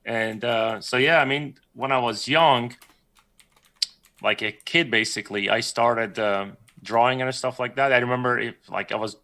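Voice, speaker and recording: Bright-voiced man telling a rambling story. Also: medium pitch, moderate pace. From Iran. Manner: rambling, self-correcting storyteller, laughs often. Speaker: guest artist. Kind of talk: casual livestream chat.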